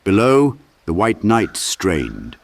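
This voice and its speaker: A man narrating a dark fantasy audiobook in a measured, dramatic storytelling style. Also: wildly swooping pitch, vocal fry. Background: low ambient sound design.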